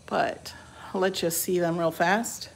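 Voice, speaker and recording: A woman's voice: fairly low pitch, steady tone, measured pace, creaky. A woman chatting informally as she hosts a casual house tour, recorded on a handheld phone. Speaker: host giving a house tour. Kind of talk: casual walkthrough chat. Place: rooms of a small house, then porch and driveway. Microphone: handheld phone, operator behind camera. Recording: noisy handheld recording on the move.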